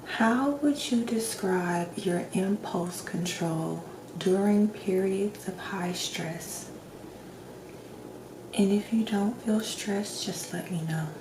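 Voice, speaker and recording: Slow, soft-spoken ASMR woman doctor. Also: mid-range voice. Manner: gentle, hushed, often near-whispered, long pauses between questions. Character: calm doctor giving a personality assessment. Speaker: ASMR roleplayer playing a doctor. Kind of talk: soft-spoken ASMR roleplay, clinical questioning. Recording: roomy echo, clear background noise.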